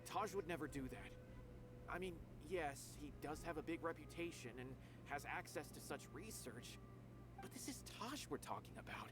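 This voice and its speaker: An actor playing an earnest, loyal guard in a video game, speaking with emphatic sincerity. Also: male voice, a little high, expressive, moderate pace, noisy background, natural voice. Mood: defensive, disbelieving.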